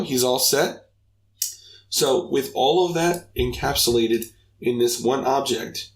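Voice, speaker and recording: A young man with a slightly raspy voice, walking viewers through a coding tutorial in an upbeat, chatty way. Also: medium pitch, a little hiss or hum. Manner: upbeat, talks through each step, chatty asides. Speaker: game development tutorial host. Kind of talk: casual screen-recorded coding tutorial.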